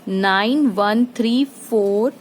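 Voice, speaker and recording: A woman's voice: medium pitch, extremely slow, a little hiss or hum. A woman teacher explaining a physics problem step by step, often asking her students checking questions and mixing in English terms.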